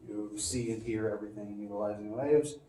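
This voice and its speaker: A lower-pitched, mellow-toned man teaching a physics class, loose and chatty, stumbling through fillers and restarts. He sounds a bit sniffly. The sound is echoey and distant, from a phone left across the classroom. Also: fairly even intonation, brisk, slight background noise. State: sniffly from allergies. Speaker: physics professor. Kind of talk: casual classroom lecture. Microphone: phone recording from across the room.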